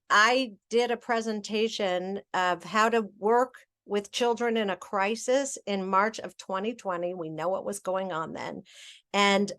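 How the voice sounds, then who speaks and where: A woman's voice: fairly high pitch, a bit bright. A middle-aged woman giving a training presentation over slides, chatty, with many fillers and self-corrections.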